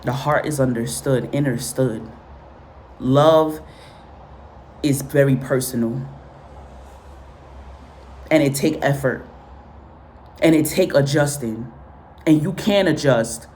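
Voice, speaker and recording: A low-pitched woman, raised in Guatemala and Honduras with Spanish as her first language, talks through a spiritual reading in a blunt, cursing, repetitive way. A faint hiss sits under her voice. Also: slow pace, long pauses, darkish tone. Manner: blunt, profane, emphatic and repetitive. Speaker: tarot and spiritual reader. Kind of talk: casual spoken reading with commentary.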